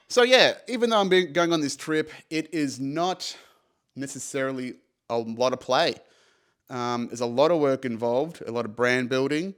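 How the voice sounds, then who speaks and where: Rambling, foul-mouthed man in his thirties. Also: mid-range voice. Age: 30s. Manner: rambling, swears freely, self-mocking. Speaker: podcast host. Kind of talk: casual solo podcast monologue.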